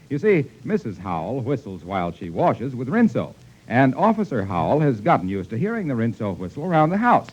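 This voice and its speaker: An American man announcing and reading ads on 1940s radio with a polished, emphatic delivery. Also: medium pitch. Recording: muffled 1940s radio recording, faint noise, clicks.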